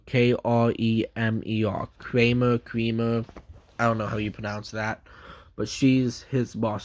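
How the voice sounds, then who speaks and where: A man with a stuffy nose from a cold, giving a casual, rambling comic book review full of fillers and restarts. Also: medium pitch.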